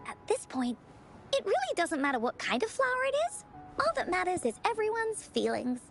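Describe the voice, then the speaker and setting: High, sweet young girl. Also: big pitch swings, a little fast, gravelly, soft background music, full character voice. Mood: warm, reflective. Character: sweet, earnest young girl who loves flowers. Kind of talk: video game story dialogue.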